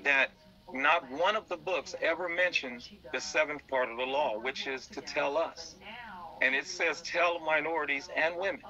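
A man speaking as an interview guest, earnestly explaining in a halting way and repeating words as he goes. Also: on the high side, bright, forceful tone, highs cut off. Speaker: interview guest, business founder.

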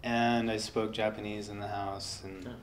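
A man in his thirties talking about his life in a relaxed interview, soft-spoken and rambling with lots of fillers. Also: fairly low pitch, measured pace, a little hiss or hum. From United States. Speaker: interviewee, guitarist. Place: indoor workshop studio.

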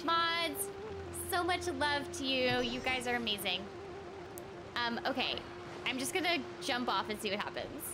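Young woman, playful livestream chat, unhurried pace, very lively intonation, game music behind. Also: high-pitched. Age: young adult. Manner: playful, giggly, exclamatory. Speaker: game streamer. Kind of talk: casual livestream chat while gaming.

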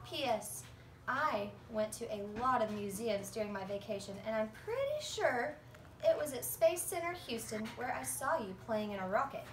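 An eager little kid, read by a young woman in a high, swooping voice, earnest and full of questions. It is a storybook read-aloud, recorded from a distance in a roomy space. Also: lively intonation, normal speed, natural voice. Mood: eager, curious. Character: eager, polite young children writing letters to Santa. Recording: roomy, distant phone recording with faint noise.

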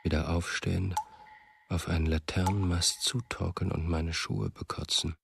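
A haunted, introspective narrator in a radio play. His voice is extremely low and gravelly, held nearly monotone in a sombre manner. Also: normal speed, faint music behind. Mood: bleak, confessional. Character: brooding, haunted male narrator searching for a lost friend. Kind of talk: literary radio drama monologue.

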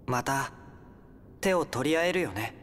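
A man playing a gentle, resolute teenage boy hero in an anime-style game cutscene, sincere and firm. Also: medium pitch, expressive, unhurried, big-room sound, a little hiss or hum. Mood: earnest, gentle.

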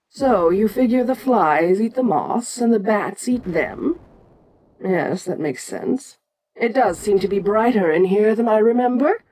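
An ancient giantess kaiju queen, acted by a woman, speaks very slowly and with regal deliberation. Her female voice sits at a middling pitch. She muses imperiously, pausing often, as in an intimate audio roleplay monologue. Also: mellow, slightly rough, poor audio. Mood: musing.

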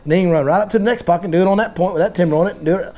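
A man, hosting a casual fishing seminar and talking in animated bursts in a tackle shop. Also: high-pitched. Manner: animated, gestures a lot, fast bursts. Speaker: fishing guide and seminar host. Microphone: lapel mic.

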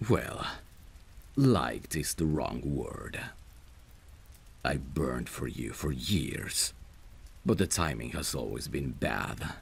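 A man playing a protective, lovesick friend in a romantic ASMR roleplay, speaking tenderly and intimately in a husky, gravelly voice. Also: rumbling low, sing-song, exaggerated voice. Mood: earnest, lovesick. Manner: tender, soothing, unhurried. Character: protective lovesick male friend, gentle and devoted.

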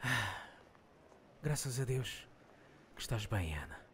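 A man's voice: medium pitch, expressive, takes it slowly, a bit bright, slight background noise. A man with a European Portuguese accent voicing a timid, earnest, easily flustered young man in an anime fan dub.

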